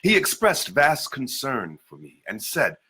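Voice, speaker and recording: A man's voice: mid-range voice, huge pitch swings, unhurried, sharp tone, husky, exaggerated voice. A man giving a dramatic reading as an African prince recounting his childhood. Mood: earnest. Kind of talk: dramatic reading of a historical narrative.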